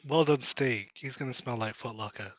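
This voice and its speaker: A man's voice: medium pitch, normal speed, no echo. A man chatting casually as a caller to a podcast. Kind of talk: casual chat. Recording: dull, lo-fi call audio.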